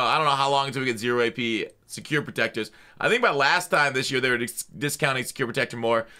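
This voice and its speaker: A man's voice: mid-range voice, pushed and bright. A man hosting a gaming channel, talking through the news in a casual, off-the-cuff way with blunt, slangy opinions.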